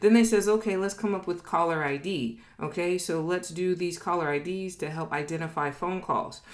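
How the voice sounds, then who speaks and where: A woman with a slightly low voice teaches informally, like a business educator at a board. She is conversational and emphatic, tossing in little check-in tags and voicing imagined quotes as she explains. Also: moderate pace. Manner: conversational, emphatic, frequently checks in with "okay?" and "right?".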